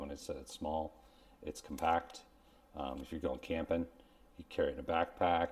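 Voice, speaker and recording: A man's voice: extremely low pitch, darkish tone, far from the mic. A man in his forties hosting a casual product review to camera, explaining things in a plain-spoken way. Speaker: host. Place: indoors, small room at home. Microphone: clip-on lavalier on shirt.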